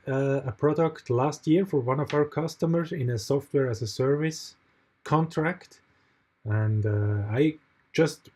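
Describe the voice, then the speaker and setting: A man's voice: medium pitch, dark tone. A Swiss man whose first language is not English, speaking as mentor in a recorded online tutorial, often hesitating and restarting his sentences. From Switzerland. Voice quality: hesitant, frequent fillers and restarts. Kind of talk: recorded tutorial with screen share.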